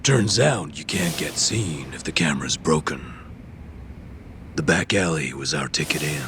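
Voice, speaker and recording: Yakuza thug: deep, gruff, defiant, swings up when riled, drawls out his sneers, game dialogue. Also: lively intonation, unhurried, background noise. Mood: grudging, cagey. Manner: gruff, belligerent, sneering, profane. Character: hot-tempered foul-mouthed male yakuza gangster, defiant, cagey. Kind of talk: English video game dub acting.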